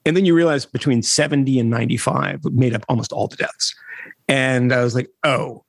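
A middle-aged man, a reporter guest on a podcast, talking in an animated way with wry asides and chuckles. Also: mid-range voice, brisk, creaky, dry recording. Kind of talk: conversational interview.

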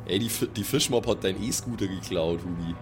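A man plays in a tabletop role-play. His talk is casual and improvised. Music is clearly audible behind him. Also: sits low, fairly quick. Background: prominent background music.

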